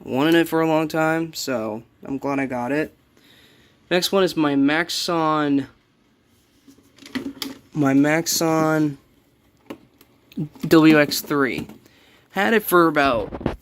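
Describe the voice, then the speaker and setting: A man's voice: a little high, slight background noise. A young man giving a casual show-and-tell of his collection, rambling and correcting himself as he goes. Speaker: radio collector showing a collection. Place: small cluttered room indoors.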